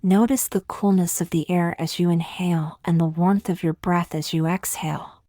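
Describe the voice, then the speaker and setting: A woman gently narrating a guided meditation in a soft, breathy, soothing voice, slowly and with long pauses. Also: fairly low pitch, steady tone, no room sound, studio quality.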